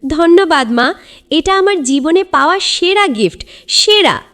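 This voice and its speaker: A woman's voice: extremely high pitch, animated, measured pace, fairly bright tone, pitched above the performer's own voice, heavily acted. A woman voicing an emotional, accusing little boy in a cartoon story, insistent and dramatic. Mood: overjoyed.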